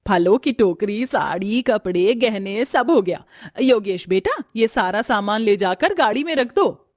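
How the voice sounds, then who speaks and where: Woman as a brisk bossy mother-in-law. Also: medium pitch, animated, no room sound, clean studio sound.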